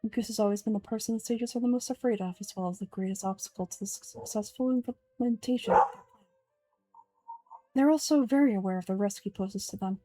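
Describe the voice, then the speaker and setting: A woman giving a measured, earnest dramatic reading of video game script as an amateur voice actor. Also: mid-range voice, mellow, dry recording, faint music behind, poor audio.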